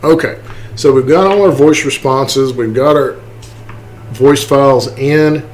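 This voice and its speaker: A man giving a casual, step-by-step software walkthrough, informally checking in with okay and right as he goes. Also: medium pitch, measured pace, a little hiss or hum, poor audio. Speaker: tutorial presenter. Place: indoors, voice-over for a screen recording.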